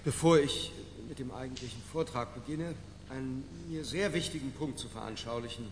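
An actor in a radio play playing an obsessive, pedantic middle-aged academic giving a halting, fussy, emphatic lecture. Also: male voice, medium pitch, lightly acted. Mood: flustered, muttering. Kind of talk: theatrical radio play monologue. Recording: reverberant lecture hall, faint noise.

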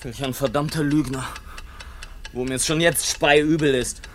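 A man acting a frail, harried gentleman writer in a radio play, whimsical, indignant and theatrically digressive, on an old recording. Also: a little high, a little slow, slight background noise, natural voice. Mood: queasy, alarmed. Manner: theatrical, digressive, excitable, grumbling.

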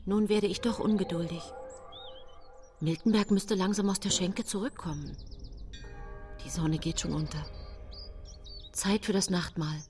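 Woman acting a low voiced 1800s housewife telling her story in a radio play, measured n self-righteous, music playing behind. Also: a little mellow, natural voice. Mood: plaintive. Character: 19th-century German housewife, outwardly pious and gentle, secretly cold. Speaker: actress narrating in a radio play. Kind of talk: first-person radio drama monologue. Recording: music bed behind studio voice.

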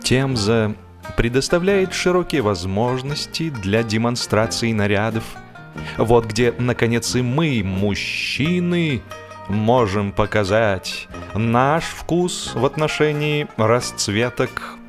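A man reading a humorous story as an audiobook narrator, in an expressive, measured storytelling manner. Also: medium pitch. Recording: music behind the voice. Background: instrumental music.

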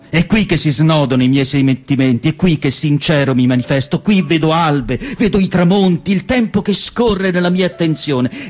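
Solemn, high-pitched male asylum doctor narrating. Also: a little mellow. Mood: grave, heartfelt. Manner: measured, solemn, literary delivery with deliberate pauses. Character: reflective asylum doctor narrating his patients, melancholy and devoted. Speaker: actor reading as narrator. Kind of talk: literary dramatic monologue for radio theatre.

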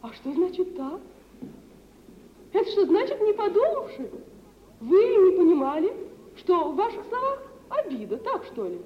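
An actress playing a proud, sensitive young woman in a stage drama, with impassioned theatrical delivery and weighty pauses, in mid-century radio theatre style. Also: very high-pitched, very animated, echoey room. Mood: hurt, reproachful. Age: young adult. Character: proud, sensitive young dowerless bride, yearning and wounded. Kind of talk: 1950s Russian radio theatre acting. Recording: muffled, hissy mid-century radio recording.

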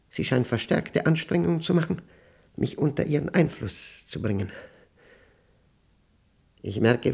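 A man acting an obsessive, unravelling professor who dictates his diary in a German radio play, with emphatic, deliberate diction and short clipped phrases. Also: mid-range voice, creaky, mellow, husky, heavily acted. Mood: anxious, tormented. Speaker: radio drama actor.

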